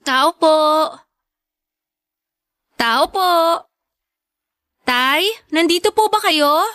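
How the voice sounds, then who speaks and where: Radio drama daughter: young, Filipino, high-pitched, earnest and pleading, close dry recording. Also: female voice, lively intonation, extremely slow, pushed and bright, no echo, full character voice. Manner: earnest, polite, tearful pleading. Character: young grown daughter, pleading, hurt but resolute.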